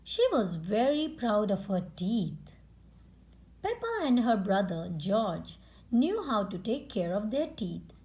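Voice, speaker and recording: A woman reading a children's picture book aloud in an expressive storytelling style. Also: mid-range voice, slow pace. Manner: expressive, acts out character lines. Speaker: storytime reader and channel host. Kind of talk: children's read-aloud narration.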